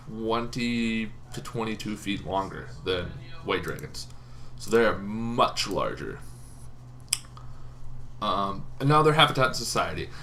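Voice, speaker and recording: A man with a fairly low voice talks through game lore like a channel host. His delivery is informal and unscripted, halting as he thinks aloud. There is some faint noise behind him. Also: fairly bright tone. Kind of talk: casual off-the-cuff explainer. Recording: noticeable background noise.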